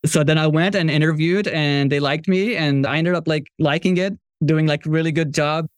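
A man from Morocco talking conversationally as a podcast guest, telling his own story as a software entrepreneur. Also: on the high side, steady tone, normal speed, no room sound.

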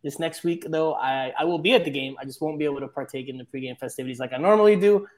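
A man guesting on a podcast speaks in an easygoing, conversational way. His voice sits slightly high as he talks about covering games from the press box. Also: fast. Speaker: guest, sports radio reporter. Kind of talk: casual podcast interview.

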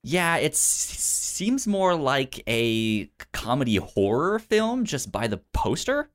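A man co-hosting a casual film chat, speaking haltingly and often repeating words mid-sentence. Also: mid-range voice, big pitch swings, a bit bright. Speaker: podcast co-host.

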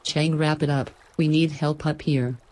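A woman's voice: deep voice, fairly even intonation, unhurried, darkish tone. A woman voicing a bossy, arrogant red-headed female ship captain who speaks curtly. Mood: commanding. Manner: commanding, curt.

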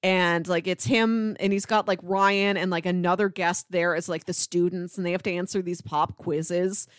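A woman's voice: fairly low pitch, fairly even intonation, moderate pace, smooth, no room sound, studio quality. A woman co-hosting a podcast, talking in a casual movie review chat. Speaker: podcast co-host.

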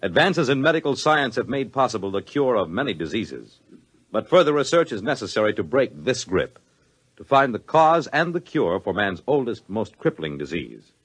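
A man's voice: medium pitch, very animated, moderate pace, a bit bright, husky. A male announcer delivering a scripted, earnest appeal in solemn 1950s radio style, with dramatic emphasis.

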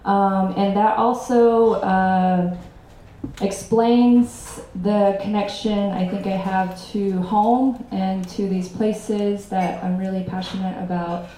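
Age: adult. Sex: woman. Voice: mellow, flat. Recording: echoey event room. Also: medium pitch, slow pace, slight background noise. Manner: warm, thankful, many fillers. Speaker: panelist. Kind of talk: panel self-introduction.

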